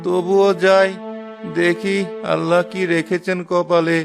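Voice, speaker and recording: A creaky old village fisherman , acted by a man, with a high-pitched voice. He is weary, plaintive and kind, in cartoon storybook style over music. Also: level tone, takes it slowly, heavily acted. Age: older. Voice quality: creaky, gravelly. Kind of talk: cartoon fairy-tale acting.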